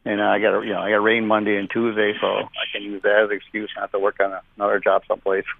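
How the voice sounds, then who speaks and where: A man's voice: on the low side, completely flat delivery, very fast, gravelly, no echo, narrow, tinny audio. A man who owns a contracting company rambles through a job story on the radio, with lots of "you know". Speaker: contractor, company owner and show regular.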